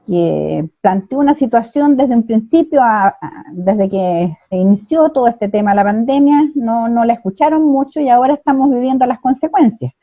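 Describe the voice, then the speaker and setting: A woman's voice: a little high, expressive, dry recording. Chilean woman host on a tinny line. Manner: measured, with frequent hesitations. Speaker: interview host. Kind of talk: interview questions and comments. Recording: dry tinny phone-like line.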